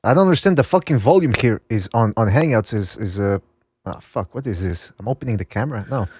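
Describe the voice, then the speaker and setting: A man's voice: on the low side, expressive, fast talker, breathy edge, no room sound. A man hosting a casual call-in sports podcast over an online voice call. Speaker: podcast host.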